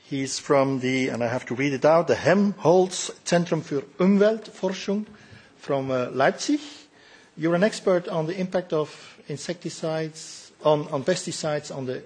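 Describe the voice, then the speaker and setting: A man's voice: a little high, measured pace, a little mellow, breathy edge. A man chairing a parliamentary hearing, politely thanking and introducing speakers. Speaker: moderator.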